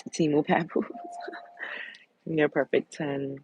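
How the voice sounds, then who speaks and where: A woman hosting a casual livestream chat, reading out comments in a candid, blunt way, with a deep, sultry voice. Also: steady tone, takes it slowly, a little mellow, husky, no room sound. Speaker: host.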